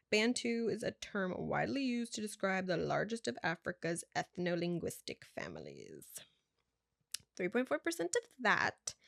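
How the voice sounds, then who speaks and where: A woman's voice: mid-range voice, gravelly, no room sound, studio quality. A young woman vlogger reading her results aloud in casual chat, stumbling over words and adding offhand asides.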